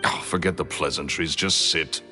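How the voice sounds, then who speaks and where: An actor playing a gruff, short-tempered wealthy businessman and former magician in a video game, brusque and dismissive. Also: extremely low pitch, normal speed, in a large hall, music in the background. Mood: curt, irritated.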